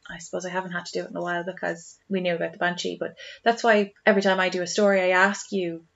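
A woman's voice: fairly low pitch, flat delivery, rapid-fire, creaky, highs cut off. An Irish woman co-hosting a podcast in casual chat, with an exclamatory, storytelling manner. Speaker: podcast co-host.

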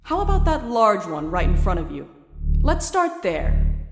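A woman's voice: mid-range voice, huge pitch swings, measured pace. Reverberant hall, woman voicing sinister female meditation guide, falsely sympathetic, patronizing, formal, coldly predatory, audio drama, prominent music. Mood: coaxing, patronizing. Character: sinister female self-help guide spirit, soothing, condescending, coldly predatory. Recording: echoey reverberant hall effect, music underneath.

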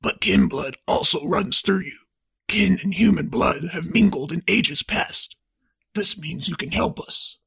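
A man voicing a grave raspy toad elder. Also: sits high, fairly bright tone, no echo, full character voice. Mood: solemn. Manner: slow, grave pronouncements. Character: solemn ancient toad elder, child-sized. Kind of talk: comedic podcast story reading.

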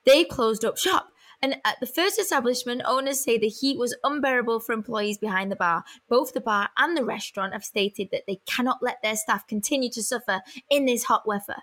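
A young British woman with a fairly high voice co-hosts a relaxed chat. She reads light news items in a playful way and stumbles over place names, giggling as she goes. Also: fairly quick, few pauses. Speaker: co-host. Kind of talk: casual chat and news reading.

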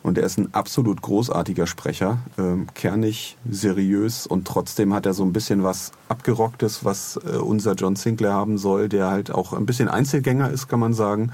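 A man with a low, quite husky voice chats casually as a podcast host. His tone is dark and soft, and he rambles along haltingly. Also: fairly even intonation.

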